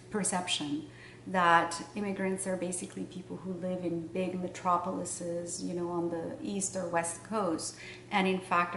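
A middle-aged woman with a fairly low voice speaks in a measured, reflective way, pausing to find her words. She sounds a little distant from the mic, and the room adds a noticeable echo with a faint hiss underneath. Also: gravelly. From Morocco. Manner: thoughtful, measured, with pauses and fillers. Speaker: novelist. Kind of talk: interview answers. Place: room in front of bookshelves.

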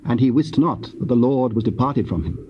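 A man gives a solemn, formal narration of scripture. His delivery is measured, with a medium pitch. The cassette sound is dull and faintly noisy. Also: animated.

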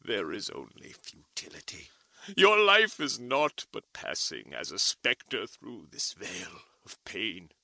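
A man playing a gloomy advertising voice of existential despair in a comedy sketch, slow, bleak and solemn. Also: lowish voice, huge pitch swings, vocal fry, pushed and bright, no room sound, exaggerated voice.

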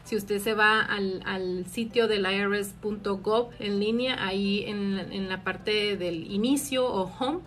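A woman's voice: medium pitch, fairly even intonation, takes it slowly, hardly any pauses, a bit bright. Woman explaining taxes live. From Mexico. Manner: friendly, explanatory, many "este" fillers. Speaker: tax advisor answering viewer questions. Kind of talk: live stream Q&A. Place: small office indoors. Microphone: phone camera at arm's length.

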